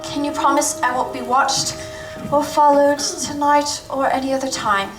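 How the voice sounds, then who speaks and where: Actress doing urgent secretive thief girl in a stage radio drama, high voice, far off mic, music behind. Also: flat delivery, normal speed, lightly acted. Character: desperate, brave young woman from a thieves' gang. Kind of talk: staged radio play acting. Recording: echoey stage recording from far off.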